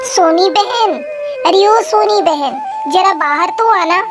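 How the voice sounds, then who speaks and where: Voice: extremely high pitch, takes it slowly. A woman voicing a poor, anxious mother crow in a children's cartoon, scolding and protective. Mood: pleading. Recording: music behind.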